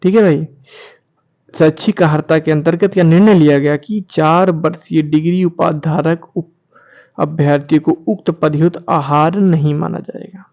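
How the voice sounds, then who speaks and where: A man's voice: fairly high pitch, fairly even intonation, normal speed, darkish tone. A man hosting an informal online explainer, reading documents aloud and repeating key phrases as he addresses viewers directly, on a muffled recording. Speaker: YouTube channel host presenting documents. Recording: dull, muffled lo-fi sound.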